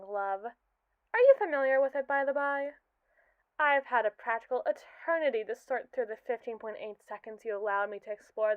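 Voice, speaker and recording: A condescending, scheming robot AI, voiced by a young woman talks in a wordy, arch and mocking way, leaning on slow, drawn-out words. The voice sits a little high and sounds smooth. It comes through dry and tinny, like a phone line. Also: no room sound, close to an everyday voice. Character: haughty, scheming captive robot AI, sardonic and condescending. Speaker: ASMR roleplay performer. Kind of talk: scripted ASMR roleplay monologue.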